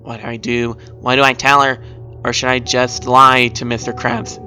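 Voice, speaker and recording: A man voicing an earnest, excitable young sea sponge from a cartoon story. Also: mid-range voice, normal speed, soft background music. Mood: anxious.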